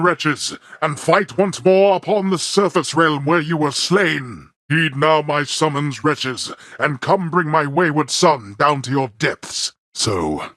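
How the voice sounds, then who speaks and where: A man playing a stern, imperious god of the underworld and disapproving father in a video game, with haughty, formal, deliberate delivery and a gravelly, husky voice. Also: fairly high pitch, a bit bright, no echo, studio quality. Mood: commanding. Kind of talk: theatrical video game voice acting.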